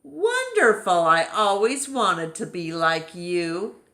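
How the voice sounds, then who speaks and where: A woman voicing excitable, easily puzzled elephants from a children's story, with exaggerated questions and exclamations. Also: medium pitch, slow pace, exaggerated voice. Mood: dismayed or thrilled.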